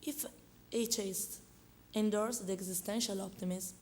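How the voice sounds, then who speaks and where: A woman with an Italian accent, speaking English as a second language, hoarse from a cold, hesitantly responding at an academic conference with many fillers and restarts. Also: medium pitch, fairly even intonation, a little slow, slight background noise. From Italy.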